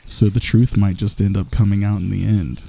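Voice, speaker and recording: A man voicing a smug, flirtatious villain in a soft, intimate, teasing ASMR roleplay, close to the microphone. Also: on the low side, little pitch movement, moderate pace, creaky.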